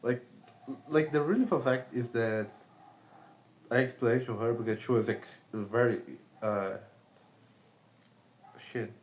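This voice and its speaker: Brazilian man, native Portuguese speaker, mid-pitched, casual rambling vlogger who swears freely. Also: slow, long pauses, low-quality recording. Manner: rambling, lots of "like" fillers and swearing. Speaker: remote sales rep. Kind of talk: casual vlog monologue.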